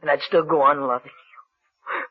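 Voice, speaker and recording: Guilt-ridden killer, American, husky, halting, pleading, desperate, vintage radio drama, muffled lo-fi sound. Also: male voice, on the high side, steady tone. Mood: bitter. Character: guilt-ridden, love-sick man killer, brooding and desperate. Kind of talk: 1940s-50s American radio drama acting.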